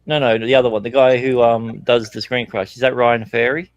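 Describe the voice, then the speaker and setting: A man's voice: medium pitch, little pitch movement, a little fast, no room sound, muffled recording. Easygoing joking man in a chat. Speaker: chat guest. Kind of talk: casual chat.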